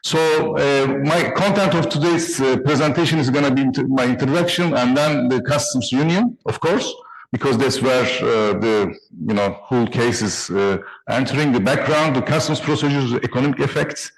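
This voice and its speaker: A man from Turkey with a Turkish accent giving a conference presentation, haltingly, often repeating and reworking his words. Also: medium pitch, low-quality recording. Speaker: conference presenter, trade consultant.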